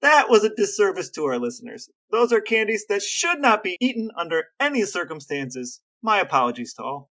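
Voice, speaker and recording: A man's voice: sits high, sing-song, a little fast, hardly any pauses, pushed and bright. A man co-hosting a casual podcast with his brother, wry and mock-formal in tone. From American Midwest. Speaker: podcast co-host. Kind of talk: casual chat between brothers.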